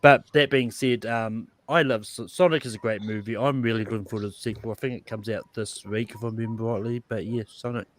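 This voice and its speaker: A man's voice: lowish voice, fairly even intonation, fast, few pauses. A man chatting casually as a panelist, in a hesitant, rambling way. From outside the United States. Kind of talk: casual chat.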